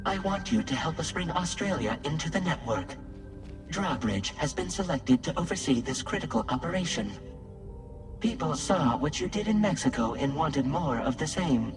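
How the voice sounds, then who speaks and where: A man voicing a mysterious, faceless mannequin patron in a game. The voice sits fairly high for a man and is polite, measured and persuasive, with music clearly underneath. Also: animated, natural voice. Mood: courteous, persuasive. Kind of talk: video game cutscene dialogue.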